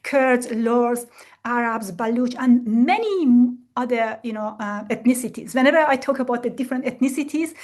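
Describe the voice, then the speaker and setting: A woman from Iran giving a lecture with slides, speaking in a measured way with many fillers. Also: fairly high pitch, a little mellow. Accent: Iranian.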